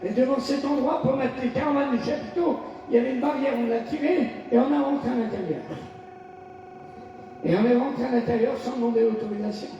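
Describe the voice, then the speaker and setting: Male pastor from France, high mellow voice, fiery preaching, distant echoey lo-fi hall recording. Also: brisk, slight background noise. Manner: preaching with shouted bursts and call-and-response. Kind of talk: fiery revival sermon.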